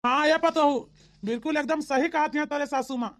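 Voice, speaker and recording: A woman's voice: sits high, fairly quick, lightly acted. A woman voicing a village in-law in a comedy cartoon, speaking with an Awadhi accent in an emphatic, folksy, repetitive way. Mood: insistent.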